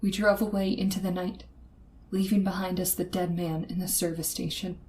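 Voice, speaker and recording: A woman's voice: on the low side, little pitch movement, normal speed, close to an everyday voice. A woman playing a frightened woman motorist in an old-time radio horror drama, with tense, suspenseful, dramatic delivery. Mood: tense, ominous. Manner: dramatic, breathy gasps and hesitant stammers when afraid. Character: frightened young woman motorist, tense, narrating her terror. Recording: noisy recording with ambient effects.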